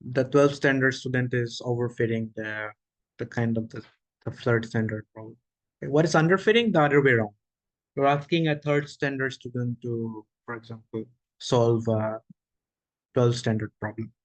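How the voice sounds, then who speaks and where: A man giving an online machine learning workshop, explaining slowly with frequent okays, restarts and questions to the audience. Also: medium pitch, no echo, poor audio. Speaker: workshop presenter. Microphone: video call.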